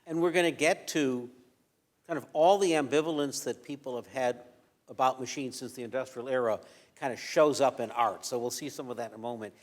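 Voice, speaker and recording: A man's voice: mid-range voice, brisk, long reverb tail. A man moderating an onstage fireside conversation, chatty and often restarting his sentences. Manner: conversational, repeats words when starting sentences. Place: event venue with audience.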